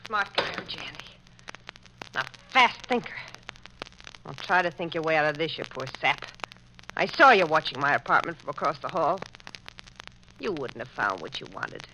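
A woman's voice: sits low, expressive, normal speed, pauses often, sharp tone. An actress playing a vengeful, dangerous woman crook with slangy, threatening talk in a 1940s radio drama. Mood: angry, threatening. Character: hard-boiled, vengeful female crook, brainy and dangerous. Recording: noisy, crackly old radio recording.

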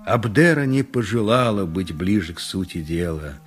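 An actor playing a somber survivor mourning among ruins, narrating gravely in a measured radio drama style. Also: male voice, sits low, animated. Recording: music behind the voice.

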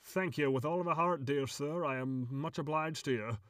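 A scrappy little old leprechaun, acted out by a male storyteller, gruff yet pitched well up. He talks in folksy, old-fashioned turns, like a creature out of a storybook. The sound is close and dry. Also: moderate pace, a bit bright, no room sound, lightly acted. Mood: grateful.

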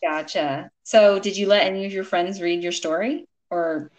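A woman's voice: lowish voice, fairly even intonation. Encouraging woman podcast host. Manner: friendly and encouraging, quick affirmations. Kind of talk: podcast interview.

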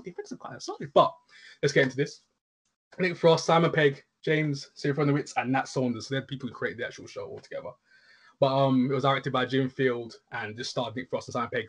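Man, husky voice, mid pitch, excitable, slangy, casual co-host chat. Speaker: co-host reviewing shows.

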